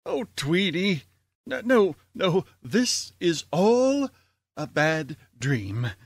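A performer voices a pompous, greedy man who runs a college in an old-time radio comedy. The delivery is slow and hugely animated, close and dry. He is dramatic and easily exasperated. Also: mid-range voice, no room sound, exaggerated voice. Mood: aghast. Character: pompous, greedy, exasperated male college head, grown grandson.

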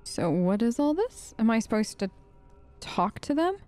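A woman's voice: on the high side, big pitch swings, normal speed, warm tone, faint music behind. A woman doing casual role-play gaming commentary as a let's play host, with a hesitant, wry manner.

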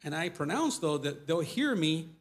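A missionary pastor in his forties with a Mexican accent, speaking casually in a church and taking questions, animated and gesturing as he talks. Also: a little high, normal speed. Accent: Mexican Spanish. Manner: animated, gesturing, voice rising when stressing points. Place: church sanctuary before a pulpit. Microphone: lapel mic.

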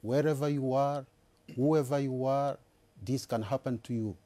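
An older man with a Congolese accent, speaking in a halting, deliberate way as a guest candidate in a TV panel interview. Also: medium pitch, steady tone, mellow. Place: TV news studio, indoors. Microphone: lapel mic.

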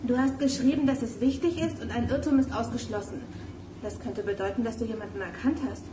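A woman's voice: a little high, steady tone, brisk, reverberant, background noise, lightly acted. A woman playing a sensible, reasoning girl detective in a youth audio drama.

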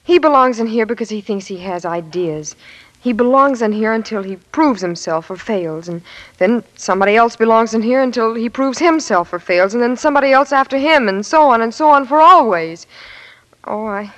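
An actress playing a devoted young American fiancée in 1940s radio drama style, earnest, halting and pleading. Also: female voice, fairly high pitch, animated, close to an everyday voice, rough recording.

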